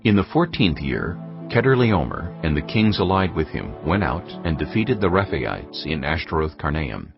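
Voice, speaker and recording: A man narrating scripture in a measured, grave storytelling manner. The audio is dull and lo-fi. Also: a bass voice, gravelly. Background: music.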